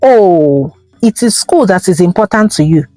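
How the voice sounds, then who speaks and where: A woman's voice: fairly low pitch, expressive, a little slow, dark tone, dry recording, faint music behind. A woman with a Nigerian accent voicing a scornful, scolding older mother-in-law in a folktale.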